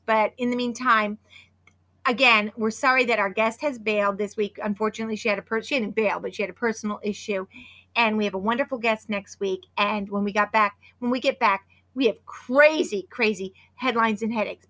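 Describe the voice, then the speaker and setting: A woman in her fifties co-hosting a podcast, chatty and excitable, hoarse from losing her voice. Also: a little high, fairly quick. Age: 50s. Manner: chatty and excitable, with many restarts. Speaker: podcast co-host. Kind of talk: casual podcast chat with sponsor read.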